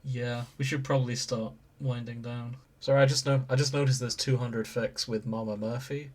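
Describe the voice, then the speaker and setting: A man's voice: medium pitch, fairly even intonation, normal speed, darkish tone. A man co-hosting a podcast in casual conversation, with a dry, understated sense of humour. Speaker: podcast co-host. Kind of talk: casual chat.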